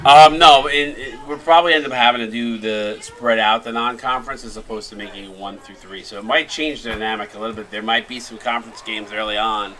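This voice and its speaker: A man's voice: medium pitch, steady tone, a little fast, few pauses, a bit bright, low-quality recording. A tired man from New York doing easygoing, chatty commentary on a simulated basketball game, calling plays between banter. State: tired from little sleep. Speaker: play-by-play commentator.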